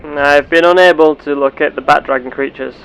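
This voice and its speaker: A man voicing a curious, earnest space explorer with measured, formal, theatrical phrasing, narrating a sci-fi game roleplay. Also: a little high, fairly even intonation, fairly bright tone, a little hiss or hum, poor audio. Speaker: gameplay host.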